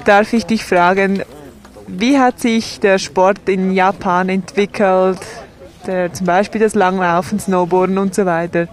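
Woman, voice-over interpreter for a sports report; slow, steady, mid-pitched delivery. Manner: measured, steady, voicing several signers. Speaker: voice-over sign language interpreter.

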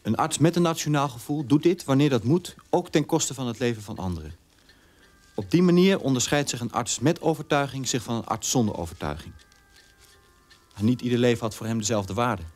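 An actor playing a reflective son in his twenties, speaking a measured monologue in a radio drama. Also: medium pitch, animated, darkish tone, natural voice. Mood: solemn. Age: 20s. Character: reflective 28-year-old son remembering his quiet father.

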